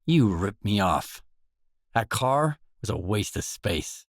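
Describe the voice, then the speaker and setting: Low, terse tough mercenary. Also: male voice, slow pace, dry recording, clean studio sound, exaggerated voice. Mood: matter-of-fact. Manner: terse, blunt, deadpan. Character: weary, tough young male stalker mercenary, blunt and guarded. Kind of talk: video game voice acting, isolated dialogue lines.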